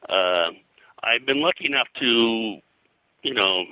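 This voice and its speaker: An older man reminiscing as an interview guest, in a halting, discursive way with detailed lists. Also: medium pitch, expressive, pushed and bright, dry recording, poor audio. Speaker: interview guest, veteran comics colorist.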